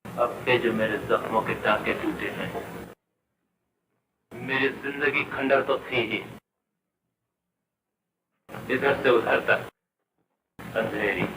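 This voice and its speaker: A man's voice: mid-range voice, fairly even intonation, unhurried, pauses often, natural voice. An actor playing an intense, tormented, devoted man in old Hindi film melodrama, speaking with theatrical emphasis and repetition. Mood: despairing. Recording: echoey, distant, noisy old film soundtrack.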